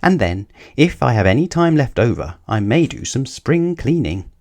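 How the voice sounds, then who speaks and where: A man's voice: medium pitch, sing-song, normal speed, a little mellow, pitched above the performer's own voice. A man voicing a polite, earnest young bear in a children's storybook. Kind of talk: children's storybook read-aloud.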